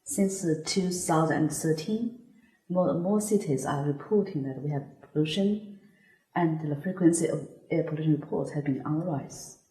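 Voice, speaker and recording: A woman leads a scripted student discussion, asking measured questions of the group. Her voice sits a little low and mellow. The room around her echoes noticeably.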